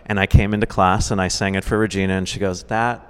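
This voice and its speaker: A man's voice: low-pitched, level tone, fast talker, smooth. A man in his twenties, a musical theater teacher, talking easily as a guest with many "uh" fillers. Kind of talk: casual interview chat. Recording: reverberant hall.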